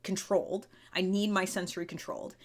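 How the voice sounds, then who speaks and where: A woman's voice: mid-range voice, level tone, fairly quick. A woman hosting a podcast, talking through personality theory in a casual, chatty way full of fillers and restarts. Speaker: podcast host. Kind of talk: casual explanatory monologue.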